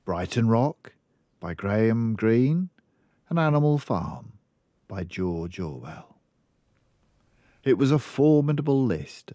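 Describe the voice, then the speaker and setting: An older man narrating a children's book expressively, with measured and deliberate phrasing. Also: fairly low pitch, dark tone, no room sound. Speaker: storyteller.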